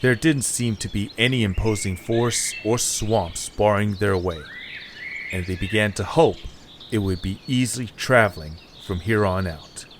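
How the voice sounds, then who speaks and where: A man narrating an audio drama in a calm, even, scripted way. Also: on the low side, unhurried, noisy background.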